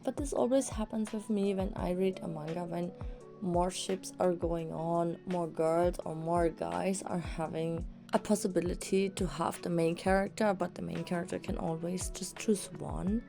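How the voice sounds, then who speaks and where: A young woman, slightly hoarse after an illness, rambling enthusiastically as she reviews manga in a casual vlog. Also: fairly low pitch, steady tone, moderate pace, over music. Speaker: manga vlogger. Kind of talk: casual book review chat.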